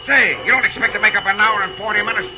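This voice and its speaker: An actor playing a folksy, excitable railroad fireman, a cautious sidekick who talks colloquially, in an old-time radio drama. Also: high voice, fast, natural voice. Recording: muffled, noisy old radio recording.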